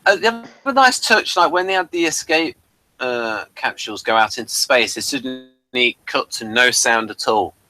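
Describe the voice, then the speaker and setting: A man co-hosting a podcast with casual episode commentary, halting and repeating words as he thinks. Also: medium pitch, expressive, sharp tone, low-quality recording. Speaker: podcast co-host.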